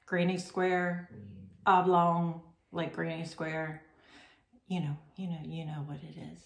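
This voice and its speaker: A woman's voice: on the low side, flat delivery, slow pace, creaky. A middle-aged woman hosting a crochet podcast, chatting to the camera at home in a rambling way, full of ums and self-corrections. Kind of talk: casual chat to camera. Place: home room with red striped wallpaper, indoors. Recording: roomy echo.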